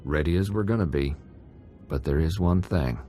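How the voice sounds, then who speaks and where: A man playing a tough, laconic, suspicious prison gang convict in a game, gruff, clipped and wry. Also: a bass voice, moderate pace, mellow, faint music behind. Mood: wary, blunt. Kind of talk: game cutscene voice acting.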